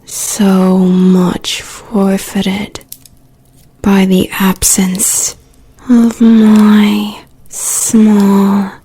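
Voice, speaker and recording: An actress with a British accent playing a tender mother in mourning in an audio drama, hushed, halting and whispery. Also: medium pitch, completely flat delivery, no room sound, rough recording. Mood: tender sorrow. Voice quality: breathy, whispery. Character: tender, mournful mother grieving her small son.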